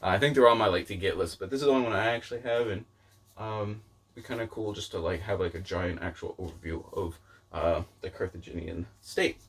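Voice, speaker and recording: A man with a fairly low voice chats casually to his viewers as a vlog host. He rambles and hedges, often doubling back to rephrase what he has just begun to say. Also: fairly quick, slightly rough. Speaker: book vlogger and channel host. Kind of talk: casual chat to camera.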